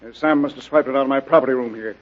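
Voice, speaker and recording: A man's voice: medium pitch, racing, lightly acted. A man playing a helpful, deferential theater property man in a radio drama, eager to explain. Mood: urgent. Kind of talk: radio detective drama acting. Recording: dull, lo-fi radio drama recording.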